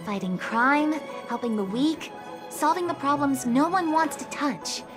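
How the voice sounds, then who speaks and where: A woman's voice: high-pitched, animated, a little slow, music in the background. A woman voicing a composed young officer in a video game, formal, earnest and polite. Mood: reflective, earnest. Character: dutiful, composed young female city officer. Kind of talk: video game English dub acting.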